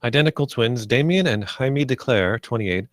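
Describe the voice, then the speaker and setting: A man giving scripted narration, reading screenplay stage directions in a plain, measured manner. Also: medium pitch, expressive, dry recording, clean studio sound.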